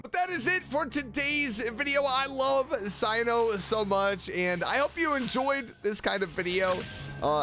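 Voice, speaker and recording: Man, high voice, bright tone, effusive, playful, casual reaction commentary, faint music behind. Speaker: YouTube streamer reacting to game voice lines.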